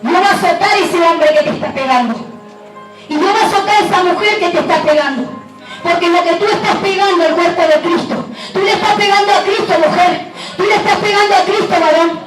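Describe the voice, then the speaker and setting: A middle-aged woman evangelist, preaching a fiery sermon in a shouting, half-singing cadence. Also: very high-pitched, brisk. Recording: echoey live church recording, far from mic. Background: music and congregation.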